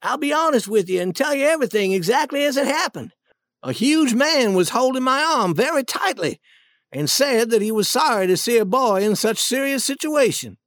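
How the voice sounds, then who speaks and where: An earnest runaway boy from a storybook, acted out by a narrator. He pleads his case anxiously and hurriedly, with lively intonation in a dry, close recording. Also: medium pitch, normal speed, dry recording, voice pushed up, close to an everyday voice.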